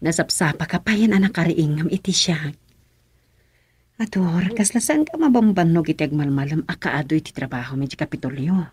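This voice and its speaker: An actress in an Ilocano radio drama playing the governor's concerned mother, who speaks softly and asks questions. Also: low-pitched, lively intonation, fairly quick, husky, no room sound, exaggerated voice. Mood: curious.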